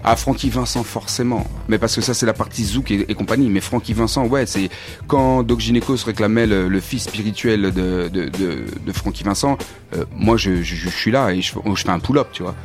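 A man's voice: on the low side, fairly quick, soft background music. A man speaking as a studio guest in a casual interview, informal and slangy in an easygoing way. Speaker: guest, rapper. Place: radio studio. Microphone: studio microphone with headphones.

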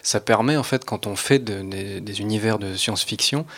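A man with a fairly low, creaky voice talks as a panel guest. He explains things off the cuff, pausing often and feeling for the right words. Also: fairly bright tone. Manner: halting, explanatory. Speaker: audio fiction maker, panel guest. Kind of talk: unscripted panel discussion.

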